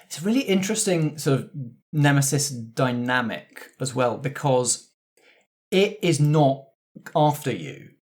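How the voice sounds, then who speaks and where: A man's voice: mid-range voice, very animated, a little slow. A man talking as a game master in a casual backstage discussion, explaining things thoughtfully. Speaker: game master of a tabletop roleplay show.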